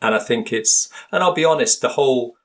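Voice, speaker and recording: A man's voice: medium pitch, lively intonation, brisk, a bit bright. A man speaking as a guest linguist in an interview, thoughtful and hesitant, often restarting his sentences and searching for words. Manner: hesitant, many restarts and fillers.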